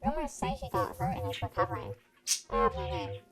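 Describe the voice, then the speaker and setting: A woman's voice: high voice, huge pitch swings, fairly quick, a crowd in the background. A woman giving an amateur story reading, animatedly acting out messages as she goes, with music behind her. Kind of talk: amateur fan fiction reading.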